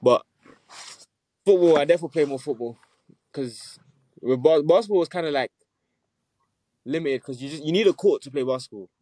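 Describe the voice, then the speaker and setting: A man's voice: on the high side, lively intonation, a little fast, long pauses, dark tone, breathy edge, no room sound. Laid-back man, Nigerian-born guest. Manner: laid-back, laughs often, says "yeah" and "listen". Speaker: musician guest. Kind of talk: casual interview chat.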